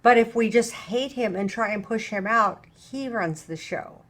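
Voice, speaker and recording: A woman's voice: a little high, unhurried, a bit bright. A middle-aged woman hosting a casual conversation as a recovery counselor, talking emphatically and acting out little dialogues. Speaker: show host, recovery counselor.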